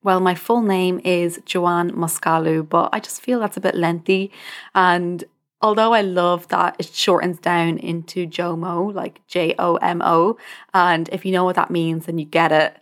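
A woman's voice: lowish voice, steady tone, unhurried. A young adult woman with an Irish accent hosting a podcast, speaking informally and directly to the listener in a conversational monologue. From Ireland. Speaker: podcast host, dietitian.

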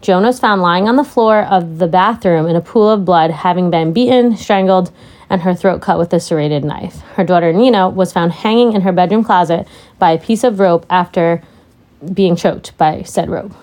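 Loud-voiced woman chatting about true crime. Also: normal speed. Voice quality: loud, deep voice. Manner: chatty, laughing asides. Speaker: podcast co-host. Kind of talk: casual true crime chat.

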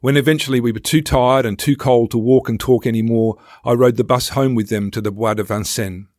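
A man reading a scripted first-person travel memoir as a podcast host, with wry, self-deprecating, measured storytelling in a studio on a close microphone. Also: medium pitch, fairly even intonation, darkish tone, no room sound.

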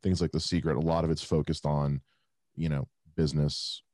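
A man hosting an interview, asking casual, halting questions with many restarts. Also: a bass voice, little pitch movement, darkish tone, no room sound, studio quality. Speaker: interview host.

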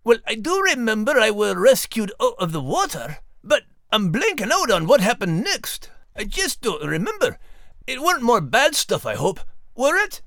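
Podcast cartoon-style dog: Scottish, folksy and wry, very gravelly, hugely animated delivery, dry close sound. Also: male voice, high voice, normal speed, no room sound, full character voice. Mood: puzzled. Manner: folksy, teasing, dialect-heavy. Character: plain-spoken Scottish male dog, podcast host.

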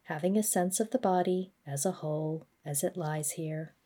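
A woman gently guiding a body scan meditation, speaking in a measured, instructional way. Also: sits low. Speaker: mindfulness practice guide.

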